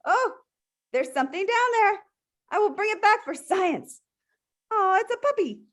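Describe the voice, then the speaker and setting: Woman playing a bold, high-voiced little adventurer girl. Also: moderate pace. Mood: excited. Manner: brash, commanding, animated delivery. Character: bold, bossy, excitable eight-year-old adventurer girl. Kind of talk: table read of an animated film script.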